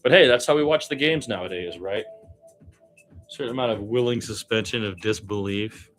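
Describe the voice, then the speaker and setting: A man giving live basketball commentary as an amateur radio announcer, animated on the plays and wry and musing in his casual, rambling asides. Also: on the low side, moderate pace, soft background music.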